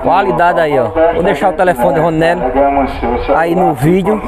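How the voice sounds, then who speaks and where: Northeastern brazilian guy vlogging, high voice, chatty and folksy, loud market crowd all around. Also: normal speed. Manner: chatty, folksy, repeats catchphrases. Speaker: vlogger and host. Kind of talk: informal market tour commentary. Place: outdoors at a livestock fair. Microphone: handheld camera or phone. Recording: noisy outdoor livestock market, busy crowd.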